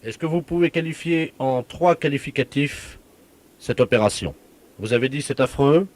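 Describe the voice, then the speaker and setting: A man playing an officious, deadpan village mayor who gives solemn, insistent warnings in a prank phone call. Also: mid-range voice, moderate pace, lightly acted.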